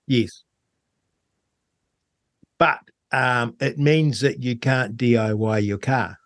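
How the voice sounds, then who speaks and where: A man with a New Zealand accent talking in a casual radio discussion as host, with a hesitant, halting delivery. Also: mid-range voice, no echo, clean studio sound. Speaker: talk show host.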